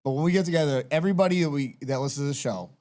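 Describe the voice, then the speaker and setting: Emphatic swearing man, slightly high. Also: racing, dark tone. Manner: emphatic, swears a lot, keeps saying "like". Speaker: podcast co-host. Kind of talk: casual chat.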